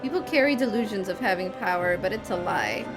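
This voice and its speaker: A woman voicing a brooding, disillusioned young man from a game, in grave, measured, formal phrasing. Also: mid-range voice, lively intonation, music in the background, close to an everyday voice, low-quality recording. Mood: grave, bitter. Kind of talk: reading game cutscene dialogue aloud.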